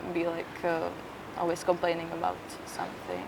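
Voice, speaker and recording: A woman's voice: sing-song, normal speed, gravelly, a little mellow. A young woman talking casually in an interview, hesitant and informal, often stalling mid-sentence. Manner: hesitant, filler-laden, informal. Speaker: youth project participant being interviewed. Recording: slightly distant mic, faint rumble.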